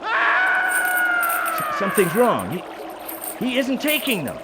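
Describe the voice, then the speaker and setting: A man's voice: very high voice, wildly swooping pitch, takes it slowly, exaggerated voice. A man acting an earnest, determined character haunted by a ghost in an amateur radio play. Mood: frightened. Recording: clearly noisy, trickling water behind.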